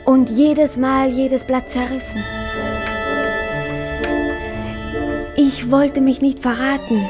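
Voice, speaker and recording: A lovesick young invalid, her voice fairly high and unhurried. She pleads with fervent longing in radio-drama style over clearly audible violin music. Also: steady tone. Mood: confessing, urgent. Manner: slow, yearning, imploring declamation. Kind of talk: letter read as dramatic monologue in radio drama. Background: violin music behind.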